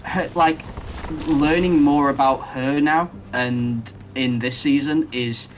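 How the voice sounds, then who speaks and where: A man hosting a casual podcast who rambles enthusiastically and thinks out loud as he talks. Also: mid-range voice, fairly even intonation, takes it slowly, a little hiss or hum, rough recording. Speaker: podcast host.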